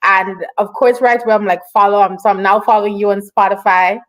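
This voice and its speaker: A woman's voice: medium pitch, steady tone, fairly quick, fairly bright tone, low-quality recording. Effusive woman hosting a casual interview. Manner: effusive, affirming. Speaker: online show host and interviewer.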